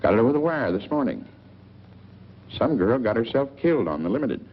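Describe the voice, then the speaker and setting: A man's voice: mid-range voice, very animated, fairly quick. An actor playing a wry, gossipy small-town newspaperman, talking in a folksy 1940s film manner. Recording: dull, noisy old film soundtrack.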